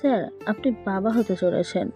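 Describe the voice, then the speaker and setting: A woman's voice: medium pitch, normal speed, warm tone, close to an everyday voice. A woman playing a respectful young female staffer in an audio story drama, over music. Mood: solemn, respectful. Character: respectful young woman breaking gentle news. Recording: music bed behind the voice.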